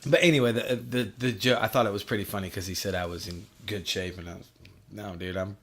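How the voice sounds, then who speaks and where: A man's voice: lowish voice, fairly even intonation, fast, fairly bright tone. A man in his forties hosting a podcast, chatting casually with a profane, self-deprecating humor. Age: 40s. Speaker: podcast host. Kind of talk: casual chat.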